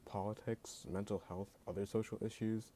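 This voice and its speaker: A man's voice: fairly low pitch, flat delivery, moderate pace, dark tone. A man giving an opinion rant as a video creator, sarcastic and blunt, with a voice that sounds jittery at times. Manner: sarcastic and blunt, heavy use of "like". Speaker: YouTube creator.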